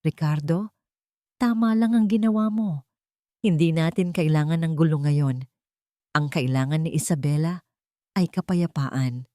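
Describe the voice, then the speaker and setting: A woman's voice: low-pitched, unhurried, long pauses, no echo, clean studio sound. A woman voicing a scheming stepmother in a dramatic story, sweet on the surface, cold and desperate underneath, with a resolute manner. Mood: soothing. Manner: hushed, resolute.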